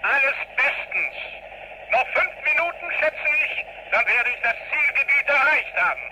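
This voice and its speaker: An actor playing a determined priest piloting a rescue flight in a radio play, speaking over a radio link. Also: male voice, extremely high pitch, lively intonation, moderate pace. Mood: calm, reflective. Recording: faint noise, 1980s radio drama.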